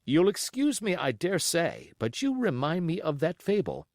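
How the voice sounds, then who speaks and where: A man voicing a genial, shrewd gentleman doctor in an audiobook, courteous and gently wry. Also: fairly high pitch, wildly swooping pitch, moderate pace, no room sound, clean studio sound, voice pushed up. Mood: amused, teasing.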